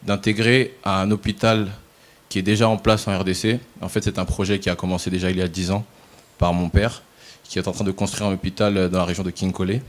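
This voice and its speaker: A young man from Paris, a pageant contestant answering casting questions hesitantly, with many pauses and fillers. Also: low voice, flat delivery. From Paris, France.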